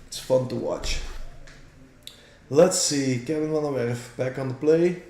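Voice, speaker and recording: A man's voice: mid-range voice, measured pace, mellow. A Belgian man with a Flemish accent, a native Dutch speaker, casually commentating a card game stream in English and chatting with viewers. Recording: roomy echo.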